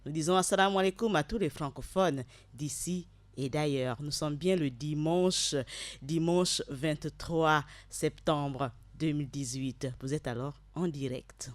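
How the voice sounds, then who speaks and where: A man's voice: on the high side, a little slow, dry recording. A man hosting a live radio show and greeting listeners. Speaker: radio host.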